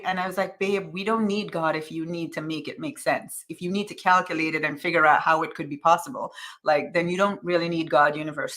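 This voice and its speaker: A woman's voice: lowish voice, a bit bright. A woman coach hosting a casual motivational live stream. She chats upbeat, reads out viewer comments by name, and keeps repeating calls to action. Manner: repetitive, urging calls to action.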